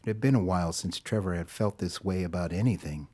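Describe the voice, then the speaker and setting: Low-pitched male story narrator. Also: quick pace, a little mellow.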